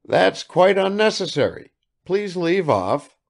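A man's voice: sits high, very animated, takes it slowly, vocal fry, pitched above the performer's own voice, full character voice. A man reading aloud and voicing a fussy, emotional elderly retired army father who tries to hide his feelings. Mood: flustered.

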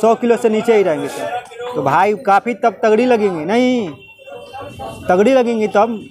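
A man's voice: high-pitched, normal speed, over music. A young man touring an outdoor livestock fair with a handheld phone, chatting to his viewers and repeating that they can see everything. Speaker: vlogger showing a livestock fair. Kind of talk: walking travel vlog commentary. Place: outdoor fair market among goat stalls. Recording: busy outdoor crowd behind.

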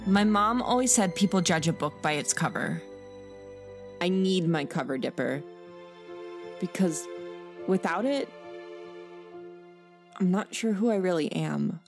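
A woman's voice: fairly low pitch, lively intonation, measured pace, long pauses, over music. A woman voicing a snooty, insecure rich preteen girl in a cartoon comic dub, disdainful and whiny. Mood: glum, defensive.

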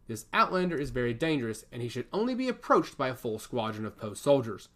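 A man's voice: mid-range voice, sing-song, quick pace, a bit bright. A man reading the part of an army captain giving urgent orders over a broadcast in an audiobook. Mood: commanding.

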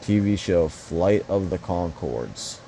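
Man, low mellow voice, rambling profane game commentary, faint air-con hum. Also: expressive, takes it slowly. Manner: rambling, profane, joking asides. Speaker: mobile game player. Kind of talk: casual gameplay commentary.

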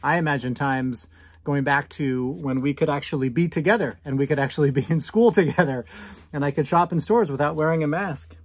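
An American man in his thirties reading a picture book aloud to children, commenting and asking questions with drawn-out emphasis on key words. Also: a little high, no room sound. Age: 30s. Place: small indoor room at home.

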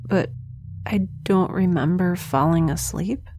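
A woman's voice: fairly low pitch, measured pace, warm tone, breathy edge. An actress playing a sarcastic young woman stranded in space, recording an audio log. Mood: wry. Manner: wry, sarcastic. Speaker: narrator of her audio log. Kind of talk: audio drama acting.

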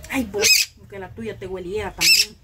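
An actress playing a young daughter, coaxing and calming others. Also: female voice, mid-range voice, moderate pace, close to an everyday voice. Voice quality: coaxing, placating. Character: young woman, pleading, patient daughter. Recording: loud background noise, birds outdoors.

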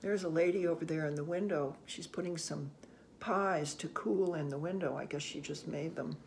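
Older storybook reader with a creaky voice. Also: mid-range voice, a little hiss or hum. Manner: gentle, unhurried, musing with soft hmms and ohs. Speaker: children's read-aloud host. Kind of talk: picture book read-aloud with asides to children. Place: small room indoors at home.

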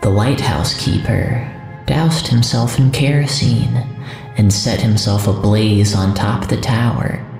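A man narrating a scripted audio drama in a solemn, deliberate storytelling manner. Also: on the low side, creaky, a little mellow. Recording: echoey, with music underneath. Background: music.

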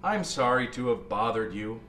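A man's voice: medium pitch, animated, moderate pace, pushed and bright, reverberant, soft background music, voice pushed down. A man voicing a hopeful, innocent rolling circle in a storybook, earnest and singsong. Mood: sad, apologetic. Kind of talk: storybook character voice.

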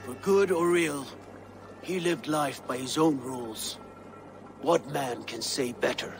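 An actor playing a solemn, grieving Viking chieftain in a game cutscene, grave and measured. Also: male voice, medium pitch, creaky. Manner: grave, measured, dropping to a hush. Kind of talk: video game cutscene acting. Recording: music under dialogue.

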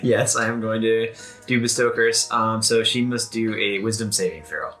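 A man's voice: lowish voice, fairly even intonation, normal speed, music in the background. A man playing a tabletop game, talking casually through his moves and the rules.

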